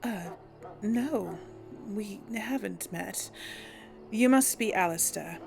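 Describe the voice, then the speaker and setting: A woman with a creaky voice giving casual, easygoing game commentary, musing to herself as she plays. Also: medium pitch, slow, a little mellow, soft background music. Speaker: let's play host. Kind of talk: casual game commentary with light role play. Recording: faint background game ambience.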